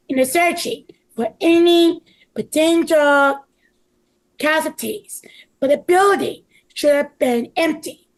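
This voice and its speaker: A woman playing a TV news reporter at a table read, reading a live disaster report in a deliberate, halting way. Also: high-pitched, rough recording.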